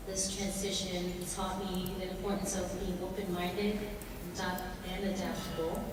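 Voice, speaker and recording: Middle-aged woman from samoa, slightly low voice, earnest pageant intro speech, echoey hall, picked up from far off. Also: background noise. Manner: earnest, measured, with soft "um" fillers. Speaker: pageant contestant. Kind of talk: prepared self-introduction speech. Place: large indoor hall.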